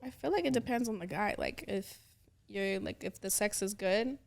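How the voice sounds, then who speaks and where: Young woman with a gravelly drawl. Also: medium pitch, moderate pace. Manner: drawling, filler-heavy talk. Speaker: podcast guest. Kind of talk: casual podcast chat.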